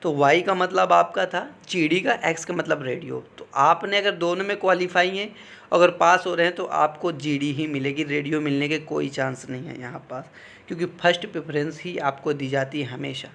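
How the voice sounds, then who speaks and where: Male coaching instructor, fairly high register, informal explanatory delivery with regular check-ins to listeners. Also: steady tone, normal speed, gravelly, fairly bright tone. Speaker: exam coaching teacher advising candidates. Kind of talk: informal explanatory talk on exam cut-offs.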